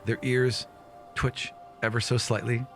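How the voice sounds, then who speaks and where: A man improvising narration as game master of a tabletop game, casual and halting, often repeating words. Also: medium pitch, little pitch movement, a bit bright, faint music behind.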